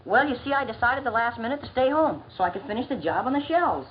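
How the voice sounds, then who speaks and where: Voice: medium pitch, animated, fast talker, slight background noise, lightly acted. An actor playing Bill, a teenage son, defensive and whiny yet eager to please, in a 1940s educational film style. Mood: defensive, pleading. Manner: earnest, slangy, boyish. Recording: muffled 1940s film soundtrack, music behind.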